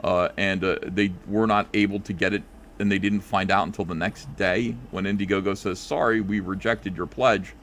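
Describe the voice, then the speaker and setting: A man's voice: deep voice, steady tone, normal speed, fairly bright tone, a little hiss or hum. A man, a comic creator, chatting casually with callers on a live show and thinking aloud with lots of fillers. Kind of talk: casual live call-in chat.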